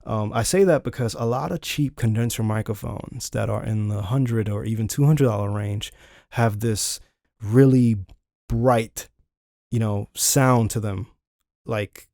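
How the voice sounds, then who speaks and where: A man's voice: mid-range voice, warm tone, no room sound. A man giving a casual, chatty product review, talking informally to viewers on a close studio condenser microphone in a home studio. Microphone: close large-diaphragm studio condenser microphone.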